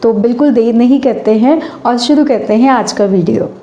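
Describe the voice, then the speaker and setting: Hoarse woman reciting anchoring lines. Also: a little high, roomy sound, lo-fi sound. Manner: formal and declamatory, slowing for verses. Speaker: channel host demonstrating a stage anchoring script. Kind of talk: recited stage anchoring with poetic couplets.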